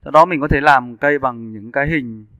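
A man giving a casual, step-by-step software tutorial as an instructor, speaking informally into a close mic. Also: medium pitch, fairly quick, no room sound. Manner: informal, instructing step by step with short check-in questions. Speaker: 3D animation instructor.

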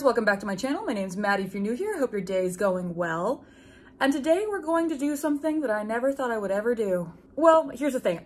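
Young woman, wry and animated. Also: on the high side. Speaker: YouTube host. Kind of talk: casual chat and reading fan fiction aloud with asides. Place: small room at home with bookshelves.

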